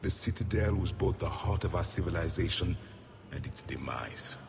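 An actor playing a proud ancient alien soldier in a video game, grave, measured and scornful. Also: male voice, low voice, lively intonation, big-room sound, noisy background. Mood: wistful. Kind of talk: video game cutscene dialogue.

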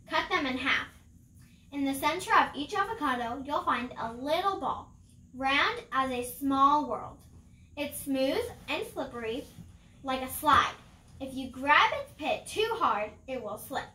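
A woman's voice: sits high, bright, forceful tone. A girl of about ten carefully reading a children's picture book aloud. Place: small room at home, indoors. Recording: roomy home recording.

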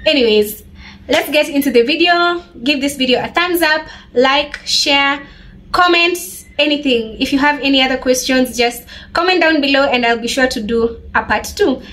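A woman in her twenties, chatting in a lively way to her webcam while she does her makeup and answers viewers' questions. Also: sits high, moderate pace, slight background noise. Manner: bubbly, animated, laughs often. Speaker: beauty vlogger. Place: small bedroom, indoors.

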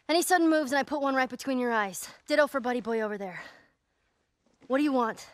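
A woman's voice: high voice, fast, no room sound, close to an everyday voice. A woman playing a wary, tough American teenage girl survivor in a video game, talking in a curt, guarded way. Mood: threatening. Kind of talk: naturalistic video game acting.

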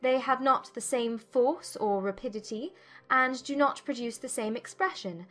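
An actress playing a witty, self-possessed young gentlewoman, poised and teasing, in a dramatized audiobook. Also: a little high, normal speed, faint music behind, lightly acted. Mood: playful, teasing.

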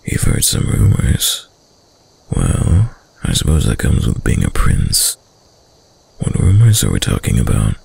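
A man playing a dominant, seductive dark prince in an intimate roleplay audio drama, suave, hushed and deliberate, with a deep, gravelly, breathy voice. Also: huge pitch swings, full character voice. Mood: smooth, coaxing. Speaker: voice actor.

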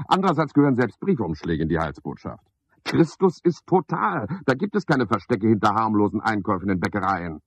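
Pompous, husky porter, swooping delivery. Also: male voice, fairly low pitch, no room sound. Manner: wordy, self-important, rambling in clipped phrases. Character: garrulous, pompous, scheming male porter of a care home. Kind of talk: radio play monologue, theatrical. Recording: dull 1970s radio play recording.

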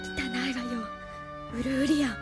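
A woman's voice: sits high, fairly even intonation, normal speed, music in the background, lightly acted. A woman playing a loyal, passionate young activist held captive in an audio drama, defiant and emotional. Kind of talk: anime-style audio drama acting.

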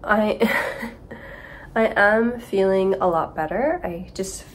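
A woman's voice: medium pitch, takes it slowly, a little hiss or hum. A young woman in her twenties chatting casually to camera for her vlog in a small apartment, a bit congested from a cold. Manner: chatty, rambling. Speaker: vlogger. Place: small apartment room with kitchen, indoors.

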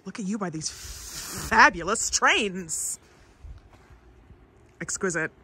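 A woman in her thirties vlogging a road trip, gushing and excitable as she chats from outdoors and in a car. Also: medium pitch, slow pace. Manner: gushing and excitable, breaks into shrieks. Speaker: travel vlogger. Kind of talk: casual road trip chat.

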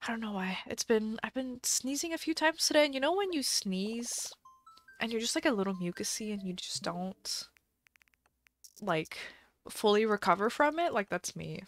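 A woman's voice: fairly high pitch, animated, normal speed. Giggly, slightly stuffy woman streamer. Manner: chatty and giggly, reacting to chat. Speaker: live streamer. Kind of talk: casual live-stream chat while playing a game. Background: faint background music.

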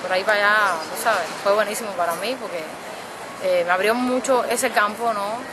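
A woman's voice: medium pitch, fairly quick. A woman in her thirties from Cuba with a Cuban accent, chatting relaxedly as an interview guest, often adding tag questions. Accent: Cuban Spanish. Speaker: percussionist guest. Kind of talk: casual interview answers. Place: outdoors at night by a city street, beside drums. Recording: loud street noise behind.

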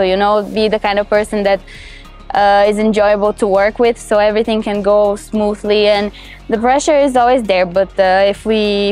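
A young woman from Brazil with a Brazilian Portuguese accent, a culinary student answering interview questions outdoors in a cheerful, laughing way with halting restarts. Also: medium pitch, smooth. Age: young adult. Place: outdoors on a city terrace.

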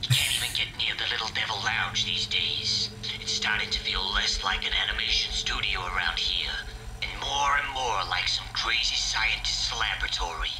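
A man's voice: lowish voice, moderate pace, bright, forceful tone, rough recording. A man voicing a suspicious, grumbling animation studio worker in a game audio log. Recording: clear background noise.

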